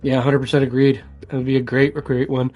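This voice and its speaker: A man's voice: mid-range voice, flat delivery, fairly quick, a little mellow, dull audio, faint music behind. A man co-hosting a podcast, talking casually and conversationally. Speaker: podcast co-host. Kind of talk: casual chat about video games.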